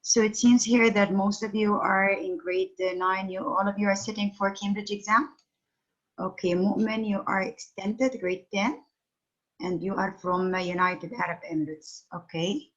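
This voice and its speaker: A woman's voice: mid-range voice, fairly even intonation, moderate pace. A middle-aged woman teaching an online English class over a phone video call, patiently questioning her students. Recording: dull lo-fi call audio.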